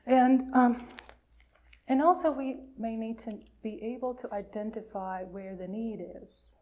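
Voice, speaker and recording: A woman minister telling a story to a congregation of all ages, pausing and putting questions to her listeners. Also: fairly high pitch, mellow.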